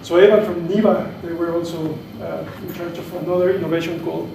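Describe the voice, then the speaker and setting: A man's voice: on the high side, flat delivery, a little fast, vocal fry, warm tone. A man giving an informal spoken introduction at a training session. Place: indoor training room. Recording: echoey room, distant mic, faint noise.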